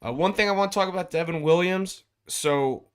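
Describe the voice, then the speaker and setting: A man's voice: high-pitched, fairly quick, smooth. A man co-hosting a sports talk show, discussing the game in a casual, conversational way.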